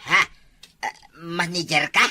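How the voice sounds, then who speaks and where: A man's voice: a little high, fairly even intonation, takes it slowly, bright, forceful tone, full character voice. A man playing a sly Ilocano village man. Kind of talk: comic drama acting.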